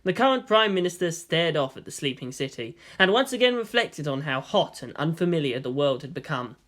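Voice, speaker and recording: Bright-voiced woman narrating a story. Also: sits low, very animated, a little fast. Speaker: fan fiction narrator. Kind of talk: expressive audiobook-style story narration.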